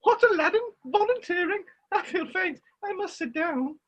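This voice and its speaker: A woman's voice: very high-pitched, expressive, moderate pace. A woman playing a cranky, bossy older laundry mother in rhyming pantomime, declaiming her lines. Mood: bossy, dry.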